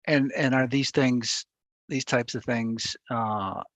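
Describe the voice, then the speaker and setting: A man's voice: medium pitch, fairly even intonation, takes it slowly, fairly bright tone, no echo. A man interviewing a guest over a video call, asking hesitant questions with repeated words. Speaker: interviewer, newspaper reporter.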